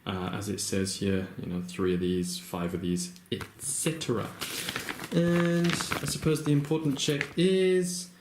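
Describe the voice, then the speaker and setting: A man's voice: on the low side, little pitch movement, a little slow, reverberant, slight background noise. A man casually narrating a felt craft project at home, chatty and hesitant with many ums and uhs. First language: not Japanese. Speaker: crafting vlogger. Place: home room, working at a table.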